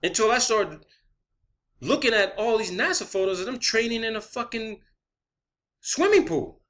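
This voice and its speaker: A man's voice: sits high, expressive, moderate pace, a bit bright. A man delivering an unscripted, profane rant in a blunt, emphatic manner, often repeating phrases for emphasis, as an online video creator.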